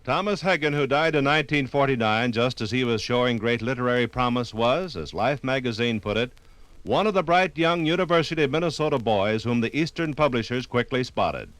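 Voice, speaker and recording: A man's voice: mid-range voice, fairly quick, a bit bright, no echo. An American man reading formal, informative scripted narration for a 1950s radio documentary.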